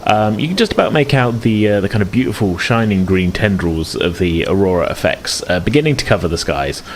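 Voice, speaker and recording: Man, game master, very low pitch, hesitant improvised narration, hedging, slight background noise. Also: animated, fairly quick. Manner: hesitant, hedging descriptions with "sort of".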